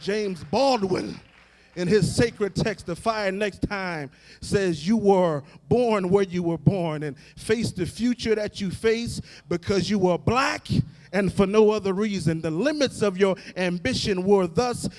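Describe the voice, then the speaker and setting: An American man, a Baptist pastor, preaching an impassioned sermon in an emphatic, oratorical way with deliberate repetition and a building cadence. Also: high-pitched, a little mellow. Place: large indoor hall, live event. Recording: echoey hall with long reverb.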